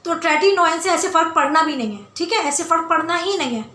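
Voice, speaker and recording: A woman with a loud voice talking casually to her viewers as a beauty vlogger, emphatic and insistent, repeating phrases for stress. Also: very high-pitched, expressive, fast talker. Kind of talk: casual skincare Q&A talk to viewers. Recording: roomy, echoey indoor sound.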